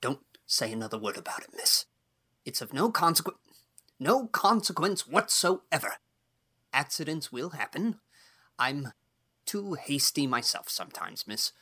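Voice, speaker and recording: A woman voicing a gruff, outspoken old male farmer in an audiobook, blustery and blunt but kindly. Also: low voice, big pitch swings, a little slow, pushed and bright, pitched below the performer's own voice, full character voice. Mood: brisk, forgiving. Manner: blustery, blunt, folksy exclamations. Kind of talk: audiobook character dialogue.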